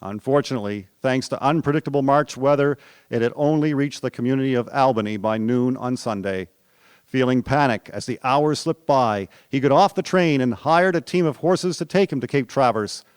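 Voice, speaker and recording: A man's voice: medium pitch, moderate pace, smooth, no room sound. A man narrating a historical radio drama in scripted storytelling style. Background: music.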